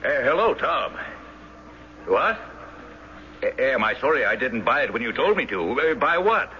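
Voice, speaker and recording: Through a dull, hissy old radio broadcast comes an overwrought, domineering banker. His low voice swings widely and theatrically. He is emphatic and exasperated, in classic radio drama style. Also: big pitch swings, a little slow, pushed and bright. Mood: astonished. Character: overwrought, domineering banker, elder brother, excitable.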